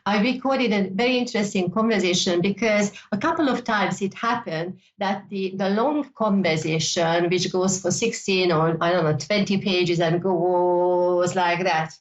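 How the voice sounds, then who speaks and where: A woman's voice: fairly low pitch, unhurried, hardly any pauses. A woman with a Hungarian accent, native speaker of Hungarian, hosting a psychic show and teaching in a loose, rambling way, often repeating words and adding "you know". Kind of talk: casual teaching talk. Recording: dull lo-fi sound.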